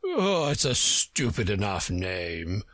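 A man voicing a pompous, testy egg-man from a storybook, with a haughty, growling, drawn-out delivery. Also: medium pitch, huge pitch swings, a bit bright, dry recording, clean studio sound, voice pushed up, exaggerated voice. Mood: impatient, scornful. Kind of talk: storybook character reading.

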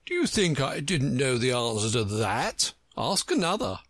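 A man voicing a pompous, testy egg-man from a storybook, with a haughty, growling, drawn-out delivery. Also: mid-range voice, big pitch swings, a bit bright, dry recording, studio quality. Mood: grand, dismissive. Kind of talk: storybook character reading.

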